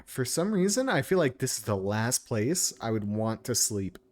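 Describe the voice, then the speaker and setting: Sarcastic man streaming a game, mid-pitched voice. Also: very animated, normal speed. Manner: sarcastic, profane. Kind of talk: casual live game commentary.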